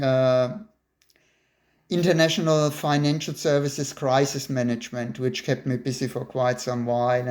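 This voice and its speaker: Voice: medium pitch, darkish tone. An interviewer from Germany, speaking casually and chattily with frequent laughs between remarks.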